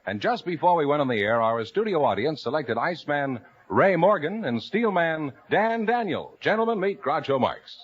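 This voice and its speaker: A man's voice: a little high, very animated, normal speed, slightly rough. An American man announcing a 1950s radio show in a scripted style. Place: radio studio with live audience. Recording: dull 1950 radio recording.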